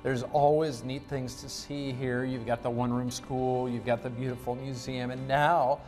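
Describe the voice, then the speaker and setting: A man's voice: mid-range voice, level tone, normal speed, a little mellow, faint music behind. A middle-aged American man presenting and interviewing for a regional TV magazine show. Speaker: TV host, interviewer. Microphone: lapel mic.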